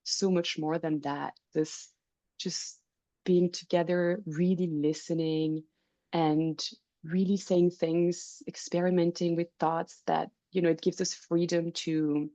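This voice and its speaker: Slow, gravelly low woman. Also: flat delivery, warm tone, no room sound. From France. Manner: earnest, laughing often. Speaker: circle facilitator. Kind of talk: casual video call chat. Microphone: video call.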